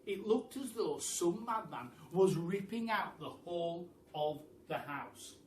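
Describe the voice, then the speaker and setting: A man's voice: high-pitched, lively intonation. Man reading a story in an echoey room. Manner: theatrical, with dramatic pauses and emphasis. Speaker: teacher reading a children's story aloud. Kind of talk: animated storytime reading in a child narrator's voice. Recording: roomy, distant mic with faint background noise.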